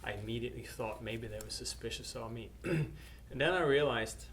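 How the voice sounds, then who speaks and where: A man's voice: mid-range voice, brisk, fairly bright tone. Hesitant Norwegian-born missionary man. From Norway. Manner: hesitant, many uhs and restarts. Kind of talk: personal testimony to camera. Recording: faint background noise.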